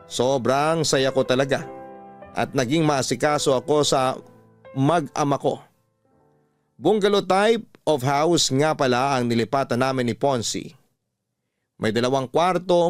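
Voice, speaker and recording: A man's voice: medium pitch, dry recording, studio quality, close to an everyday voice. A man with a Filipino accent reading a wistful first-person letter as narrator of a radio storytelling show. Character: wistful young wife telling her story.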